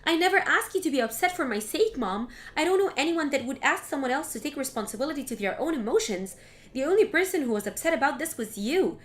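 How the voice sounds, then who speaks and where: A woman voicing an outspoken adult daughter who talks in a sharp, exasperated way. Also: sits high, expressive, quick pace. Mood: firm.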